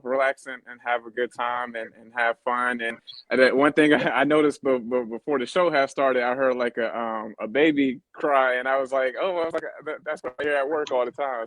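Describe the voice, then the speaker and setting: Halting young man with lots of fillers. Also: mid-range voice, a bit bright, dry recording, rough recording. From born in Germany on a US military base. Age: 30s. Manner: halting, many fillers and restarts. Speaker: guest. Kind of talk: casual interview talk.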